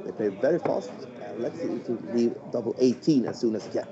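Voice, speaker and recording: Chatty non-native English darts commentator. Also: male voice, medium pitch, lively intonation, fast talker, highs cut off, crowd noise. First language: not English. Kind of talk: live remote commentary. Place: home, concert noise nearby.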